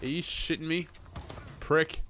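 A man's voice: fairly high pitch, fairly even intonation, unhurried, background noise. A man in his twenties with an Australian accent gives casual, chatty, sweary gameplay commentary into a headset, a little sniffly from hay fever. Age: 20s. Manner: sweary, chatty, addressing viewers as guys. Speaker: gaming video host.

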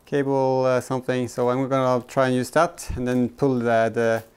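A man in his thirties giving casual, halting hands-on commentary as a vlog host, thinking aloud while he works. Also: mid-range voice, flat delivery, darkish tone, clear, resonant tone, no room sound. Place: inside a car in a workshop.

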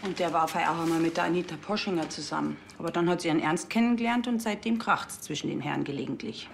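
A woman's voice: lowish voice, quick pace, vocal fry, slight background noise. An actress playing a chatty bakery woman passing on village gossip. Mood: matter-of-fact.

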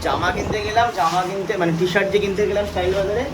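Fast, high-pitched man vlogging. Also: steady tone. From West Bengal, India. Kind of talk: casual vlog chat with family. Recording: noisy on-location vlog audio.

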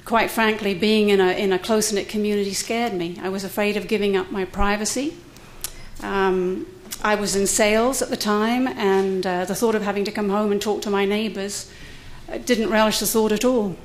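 A woman's voice: mid-range voice, steady tone, moderate pace, a little hiss or hum. A middle-aged woman, speaking candidly and with light humor as a panelist at a university conference, at a podium microphone in a lecture room. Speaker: conference panelist, cohousing resident. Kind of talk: prepared talk at a conference.

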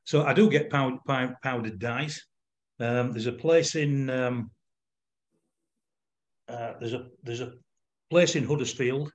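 Older male craft demonstrator, Yorkshire accent. Informal, explanatory, hesitant delivery over a video call, middle pitch. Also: slow, long pauses. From Yorkshire, England. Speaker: fly-tying demonstrator. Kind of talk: informal demonstration with questions and answers.

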